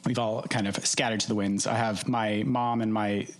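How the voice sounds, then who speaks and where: A man in his thirties from Minnesota talks easily as a podcast guest. His voice sits fairly low, and his manner is friendly and chatty, with ready laughter. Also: little pitch movement, normal speed. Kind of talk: casual chat.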